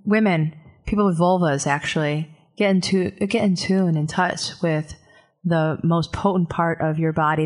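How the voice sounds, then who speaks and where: Earnest low-voiced woman guest. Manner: earnest, rambling with restarts. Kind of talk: casual chat.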